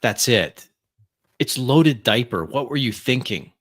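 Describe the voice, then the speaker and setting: A man's voice: medium pitch, animated, measured pace, fairly bright tone, dry recording, studio quality, pitched above the performer's own voice. A man playing a fussy, exasperated snobbish vampire, protesting in a flustered, emphatic, stammering way. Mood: indignant.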